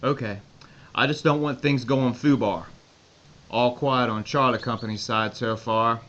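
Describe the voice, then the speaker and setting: An actor playing a brisk, authoritative American army major with an American accent in an audio drama. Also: male voice, mid-range voice, fairly even intonation, a bit bright, lightly acted. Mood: brisk, commanding. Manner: authoritative, terse. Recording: dull lo-fi recording with faint noise.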